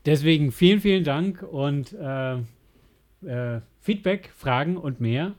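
A man with a slightly high voice co-hosts a podcast. He talks casually, with a joking, tongue-in-cheek way of putting things. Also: slow, a little mellow. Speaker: podcast co-host.

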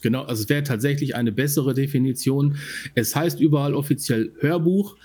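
A German man talks as a podcast co-host in a casual, explanatory way. His voice is of average pitch with a soft, dark, relaxed tone. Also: brisk, breathy edge. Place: living room at home.

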